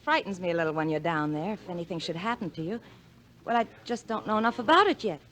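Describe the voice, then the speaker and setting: A woman's voice: on the low side, lively intonation, fast, fairly bright tone. An American woman playing a plucky, teasing newlywed wife in a 1950s radio drama. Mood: earnest, coaxing. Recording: vintage 1950 radio broadcast.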